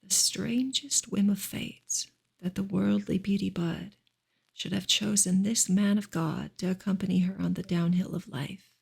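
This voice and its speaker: Breathy woman softly reading in ASMR style. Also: mid-range voice, unhurried. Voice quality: breathy, often whispered. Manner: hushed, gentle delivery. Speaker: host reading a novel aloud. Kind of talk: soft ASMR audiobook reading.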